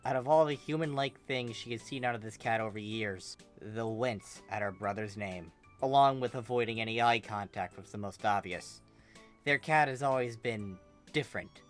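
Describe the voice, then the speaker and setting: A reader narrating a comic fan fiction story in an expressive, emphatic way. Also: medium pitch.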